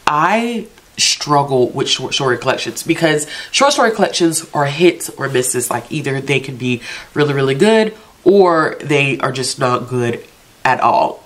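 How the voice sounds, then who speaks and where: Congested woman chatting about her reading list. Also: low-pitched. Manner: chatty, self-chiding, talks herself into reading. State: congested from allergies. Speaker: book vlogger, channel host. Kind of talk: casual book-list vlog.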